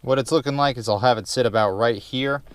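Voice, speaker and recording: A man's voice: mid-range voice, fast talker, clean tone, no room sound. A man giving a casual, unscripted DIY how-to narration in a rambling, wry, casually profane way inside a car. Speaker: DIY car project host.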